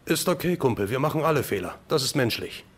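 A man's voice: mid-range voice, animated, quick pace, natural voice. A man plays a loyal crime-fighting agent in a radio drama. His voice sits mid-range, casual and reassuring like a buddy's, with slight noise behind it. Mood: casual, decisive. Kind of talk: amateur German radio play acting.

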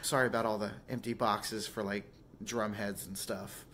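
A hoarse, tired man in his thirties talking casually to a handheld camera in a small home studio, rambling with fillers. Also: fairly low pitch, level tone, moderate pace, a little hiss or hum. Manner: rambling, easygoing, soft-spoken with fillers. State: hoarse and tired after a show. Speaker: vlogger and singer. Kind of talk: casual vlog talk to camera. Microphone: phone camera at arm's length.

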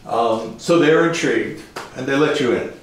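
A man's voice: medium pitch, moderate pace, slight background noise. A man interviewing a guest, asking curious, probing questions. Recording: roomy, from off-mic distance.

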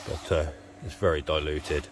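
A British man in his forties with a deep voice talks casually through a hands-on job. He is low-key and half talks to himself, recorded on a handheld phone with a little ambient noise behind him. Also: steady tone, moderate pace. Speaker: vlogger demonstrating a repair. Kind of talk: casual running commentary on a DIY job. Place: covered outdoor patio by a hot tub. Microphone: handheld phone camera mic.

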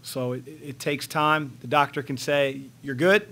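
Halting, flat-toned coach at a press table. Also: male voice, mid-range voice. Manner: measured, halting, many fillers and restarts. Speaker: head basketball coach. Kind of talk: post-game press conference answers. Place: press room in front of a sponsor backdrop. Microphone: table microphone on a stand.

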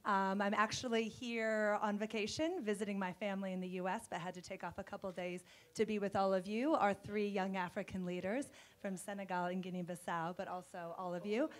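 A woman from California speaks into a handheld microphone with a mid-range voice. She sounds warm and upbeat as she introduces herself to a room of participants. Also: medium pitch, steady tone, a little fast. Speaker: embassy public affairs officer. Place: hotel conference room.

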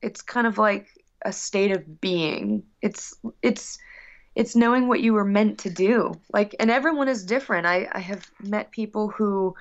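Woman musician chatting, slightly dull audio. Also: medium pitch. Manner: long-winded, rambling and earnest. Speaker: guest musician. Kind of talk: casual interview chat.

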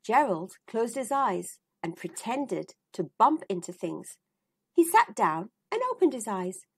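A woman reading a children's storybook aloud in an expressive, deliberate way, addressing young listeners. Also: on the high side, slightly rough.

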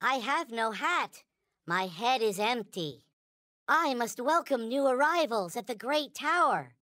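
A man voicing a small, naive, reverent toy space alien in a video game, with a high childlike cartoon voice and solemn, stilted, formal phrasing. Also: lively intonation, unhurried, pushed and bright, dry recording, studio quality, full character voice. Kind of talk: video game character voice lines.

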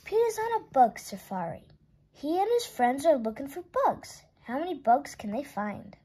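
A woman reading a children's picture book aloud in an expressive way, stretching words for young listeners. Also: high-pitched, normal speed.

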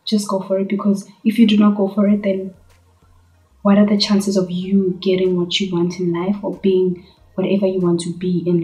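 A woman's voice: mid-range voice, mellow. A young South African woman in her twenties answering viewer questions in a casual, earnest vlog from her bedroom, often calling her viewers "guys". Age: 20s. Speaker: YouTuber. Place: bedroom, indoors. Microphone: camera on tripod in front. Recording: echoey bedroom.

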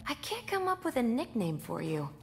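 A woman's voice: a little high, huge pitch swings, normal speed. A woman playing a brash young female fighter in a video game, flippant and teasing. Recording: loud background noise.

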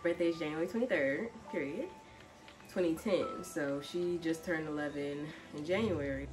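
A young American woman with a fairly low voice talks in a casual, slangy way while busy with chores. She is some way from the mic in an echoey room, and music plays clearly behind her. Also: moderate pace. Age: 20s. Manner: informal, slangy, talking while doing chores. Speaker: home and cleaning vlogger. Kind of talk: casual chat to camera. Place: inside house rooms, bedroom and basement. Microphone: camera or phone set at a distance. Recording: roomy home echo, distant mic, background music.

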